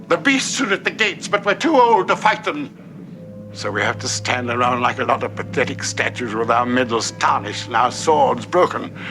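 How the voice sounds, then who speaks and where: A man's voice: fairly high pitch, natural voice, poor audio. An actor playing an indignant old man who declaims in a grand, mournful style of 1960s television drama. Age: older. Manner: oratorical, declamatory.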